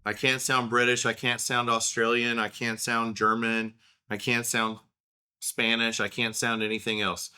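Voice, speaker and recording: A man's voice: mid-range voice, little pitch movement, moderate pace, bright, forceful tone, clear, resonant tone. A man in his thirties with an Oklahoma accent, telling rambling, self-deprecating stories in a casual solo talk.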